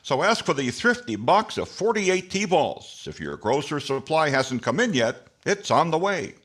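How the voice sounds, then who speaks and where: A man's voice: on the high side, very animated, normal speed, fairly bright tone. An older man playing an earnest 1940s radio announcer reading a scripted advertisement. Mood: upbeat selling.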